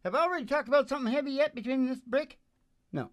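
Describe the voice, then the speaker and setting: An older man chatting casually and haltingly into a stage microphone, rambling and musing as he interrupts himself. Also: extremely high pitch, no room sound, clean studio sound. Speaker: musician hosting a live show. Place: small indoor stage with curtain. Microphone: stage microphone on a stand, close up. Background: acoustic guitar.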